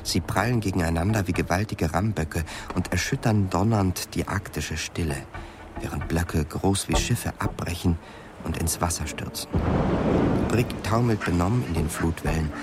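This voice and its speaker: A man narrating a radio play in scripted, dramatic literary prose. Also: low-pitched.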